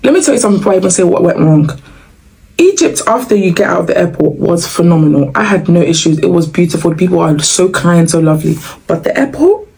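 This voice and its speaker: A woman from Nigeria telling viewers a travel story in a lively, sarcastic way, laughing as she goes. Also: lowish voice, fast, gravelly, husky, rough recording. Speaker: travel vlogger.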